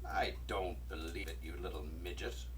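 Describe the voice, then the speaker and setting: A man voicing an enormous, dull-witted, boastful giant in a storybook reading, booming and scornful. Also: steady tone, normal speed, far from the mic, a little hiss or hum, lightly acted.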